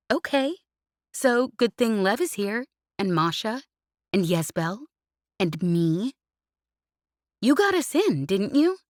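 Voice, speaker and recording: A woman voices a cheeky young pilot in an audiobook, pitched noticeably higher than the narrator's own voice. She is relentless and teasing, and the reading is slow and deliberate in a dry, close-miked space. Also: dry recording. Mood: exasperated.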